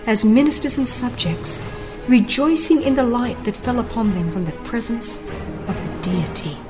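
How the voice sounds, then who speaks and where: A woman narrates a religious audiobook in a fairly high voice. Her delivery is slow, solemn and dramatic, with deliberate pauses between phrases. Soft music plays behind her. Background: music under the voice.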